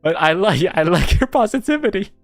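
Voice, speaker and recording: Lively young man with a bouncy voice. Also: fairly quick, no room sound, faint music behind. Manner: animated, laughs often, jumps high when excited. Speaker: streamer reading listener submissions. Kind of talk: casual radio-style chat.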